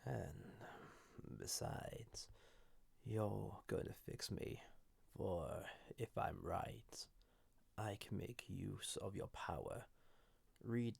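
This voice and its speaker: A deep, heavily creaking villain of ancient origin, acted by a man, speaks close and dry to the listener. He is flirtatious and dangerous, with a husky edge and deliberate pauses, as in an intimate fantasy audio drama. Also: a little mellow, no echo, full character voice. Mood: reassuring. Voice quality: gravelly, husky. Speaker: audio roleplay voice actor. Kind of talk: intimate scripted audio roleplay addressed to listener.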